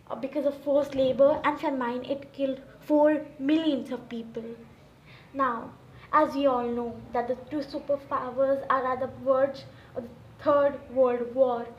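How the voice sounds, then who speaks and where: A muffled, echoey recording of a high-voiced woman acting as a national leader. The solemn statesman reads a formal radio speech in an earnest way, with clear background noise. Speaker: student performer in a school audio roleplay. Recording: muffled, roomy, noisy home recording.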